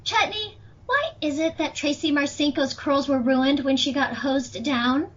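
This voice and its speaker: A woman plays a young female defense lawyer with a high voice. She questions in a pointed, theatrical way, as in a courtroom radio play. The recording is dull and lo-fi. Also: a little slow. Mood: incisive, accusing. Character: young female defense attorney, chatty then incisive, in courtroom. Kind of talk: amateur audio drama courtroom acting. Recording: dull lo-fi audio.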